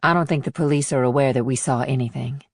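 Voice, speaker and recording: A man's voice: mid-range voice, fast talker, gravelly, no echo, studio quality. A man voicing a frightened, guarded homeless woman of about forty in an audiobook. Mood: wary, anxious.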